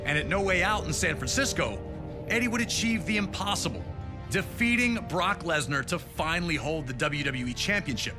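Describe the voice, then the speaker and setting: A man with a fairly high voice hosts a sports documentary. His delivery is scripted narration that builds up a rivalry. Music plays clearly underneath. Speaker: documentary host. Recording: music behind voice.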